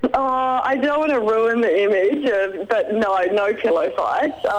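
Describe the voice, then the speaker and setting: A woman with a deep voice, a reality show contestant chatting in a radio interview, giggly with lots of ums. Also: unhurried, highs cut off, slight background noise.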